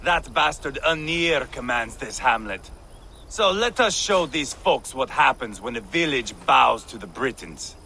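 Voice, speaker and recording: An actor playing a bloodthirsty, brash Viking warrior in a video game, gravelly and theatrical, relishing his menace. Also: medium pitch, very animated, a little slow, pushed and bright, slight background noise, full character voice. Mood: savage relish. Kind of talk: dramatic video game acting.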